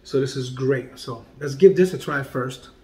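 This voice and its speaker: A man in his forties, a comedian hosting a casual food review vlog, talking in a playful, animated way and dropping to quiet murmurs while he tastes. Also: medium pitch, normal speed. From Puerto Rican heritage. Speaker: food vlogger and comedian host. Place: home dining room indoors. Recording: echoey room.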